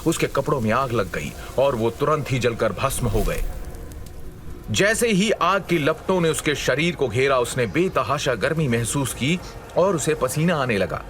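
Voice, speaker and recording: A man's voice: mid-range voice, lively intonation, measured pace, a bit bright, slight background noise. A man narrating a scripted dramatic story as a storyteller, in a suspenseful manner.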